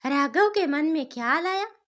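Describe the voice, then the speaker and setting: A woman's voice: sits high, expressive, a little slow, a bit bright. A woman storyteller narrating a scripted cartoon family tale.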